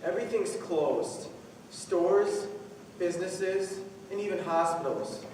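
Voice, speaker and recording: A man's voice: high voice, lively intonation, slow, a little mellow. A man on stage playing a worried, loving father stranded abroad. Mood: grim, helpless. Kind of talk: stage drama dialogue. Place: on stage in a large hall. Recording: echoey hall from far off, faint noise.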